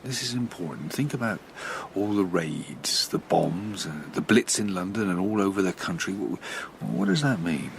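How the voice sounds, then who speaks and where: A man acting a roguish, expansive father and scheming charmer in a radio drama, rambling and avuncular, moving between hushed and hearty. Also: low-pitched, expressive, moderate pace, few pauses, slight background noise. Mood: musing, instructive.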